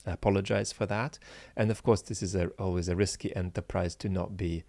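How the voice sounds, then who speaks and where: A man in his forties, a political philosopher hosting his channel, talking unscripted to viewers in a halting, searching way with many fillers and restarts, earnestly stressing key points. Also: low-pitched, fairly even intonation, creaky, darkish tone, no echo. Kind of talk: unscripted solo talk to viewers.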